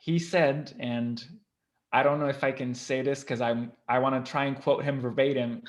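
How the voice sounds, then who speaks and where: A man's voice: mid-range voice, fairly even intonation, normal speed. A man whose first language is Armenian, a guest professor, recounting his career in a casual interview, earnest and frank with frequent ums. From Lebanon.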